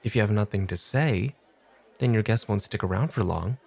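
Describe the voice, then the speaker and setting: A man playing a cool, exacting off-duty club host who teases and challenges in a measured way, in an ASMR roleplay. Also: lowish voice, gravelly, a little mellow, slightly rough, some chatter behind. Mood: testing. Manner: challenging, measured, coolly teasing.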